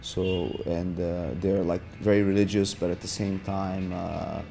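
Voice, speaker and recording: A deep-voiced man in his forties from Brazil speaks in a documentary interview, his tone mellow and fairly even. He halts often with filler sounds as he talks in a martial arts gym, with soft music underneath. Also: gravelly. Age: 40s. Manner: halting, many filler sounds. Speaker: jiu-jitsu instructor.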